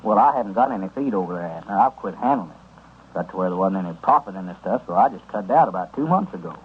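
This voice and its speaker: An easygoing small-town merchant in a radio comedy. He talks fast in a husky, mid-pitched voice, matter-of-fact. The sound is a dull 1930s radio recording. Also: animated, heavily acted.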